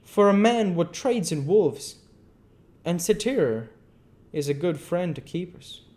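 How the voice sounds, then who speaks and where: Man reading as working-class zookeeper, storybook, blunt, talkative, rustic phrasing, highish swooping voice. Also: animated, takes it slowly, darkish tone. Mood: friendly. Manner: rustic, ungrammatical storybook talk.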